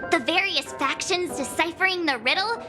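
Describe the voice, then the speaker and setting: An actress voicing a bubbly, excitable, chatty teenage girl in an anime-style video game, with animated, gushing, theatrical delivery. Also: extremely high pitch, normal speed. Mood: thrilled. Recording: loud music behind.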